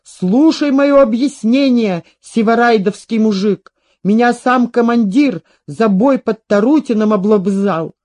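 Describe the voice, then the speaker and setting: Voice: medium pitch, measured pace, darkish tone, lightly acted. A performer voicing a boastful, pathetic old veteran soldier with rustic, declamatory speech in a story reading. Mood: boastful, loud.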